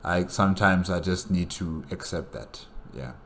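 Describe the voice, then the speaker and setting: Very deep-voiced man rambling through a reaction. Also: measured pace, background noise. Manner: rambling, many fillers and repeats. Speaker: reaction video host. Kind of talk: casual reaction commentary.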